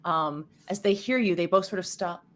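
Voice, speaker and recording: A woman game master improvising descriptive narration for a tabletop game, pausing and feeling her way through phrases. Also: on the low side, a bit bright, a little hiss or hum.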